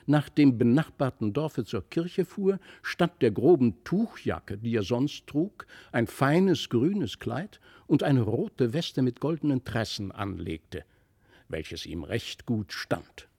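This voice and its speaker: A man's voice: mid-range voice, very animated, slow pace, mellow, breathy edge. A man reading a literary fairy tale as narrator, phrasing it carefully and expressively in scripted storytelling style. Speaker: narrator, audiobook reader.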